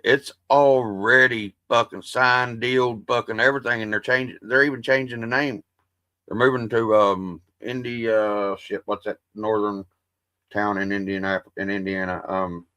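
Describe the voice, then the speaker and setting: A man's voice: on the low side, pushed and bright, dry recording. A man hosting a livestream, chatting casually with his viewers in a rambling, profane way. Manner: self-described soothing voice. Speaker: livestream host. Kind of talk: casual stream chat.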